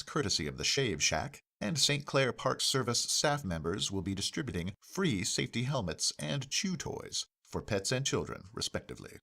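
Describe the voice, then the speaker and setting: A man playing an earnest local radio news anchor in a parody newscast, formal, measured and politely persistent. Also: fairly low pitch, a bit bright.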